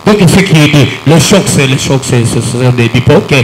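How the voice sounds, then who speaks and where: A man's voice: mid-range voice, animated, moderate pace, noisy background. A man with a Congolese French accent explaining a word on a radio show, repeating syllables. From Bukavu, DR Congo.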